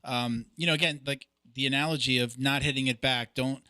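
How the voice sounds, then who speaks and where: A man's voice: medium pitch, steady tone, fairly quick, bright, forceful tone, smooth, no room sound, clean studio sound. A man hosting a podcast, chatting earnestly in a casual interview and sharing his own stories. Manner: earnest, chatty, hesitant with fillers. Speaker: podcast host.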